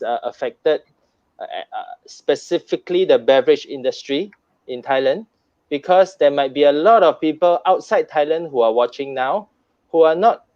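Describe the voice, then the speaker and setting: A man's voice: a little high, darkish tone, dry recording. A man hosting a panel discussion, moderating with frequent restarts and repeated words. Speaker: host. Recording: dull lo-fi sound.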